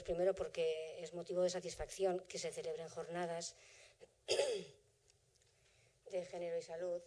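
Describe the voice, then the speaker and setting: A middle-aged woman from the Basque Country, Basque by mother tongue, lectures as an invited historian of medicine. Her voice sits on the low side and stays fairly level. She chooses her words with care and builds her points slowly and thoughtfully. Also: a little mellow. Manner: reflective, thoughtful, carefully worded. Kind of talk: formal conference lecture.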